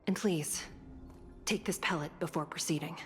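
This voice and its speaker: Game role: stern female judge played by an actress, lowish voice, formal, measured, faint music behind. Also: steady tone, natural voice. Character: solemn female prison judge, calm and authoritative. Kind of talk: scripted video game dialogue.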